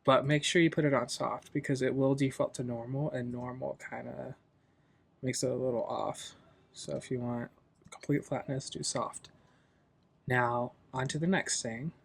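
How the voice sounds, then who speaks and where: A man hosting a software tutorial in a casual, loosely explanatory, informal way. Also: medium pitch, steady tone, normal speed, creaky, slightly rough. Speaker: tutorial host.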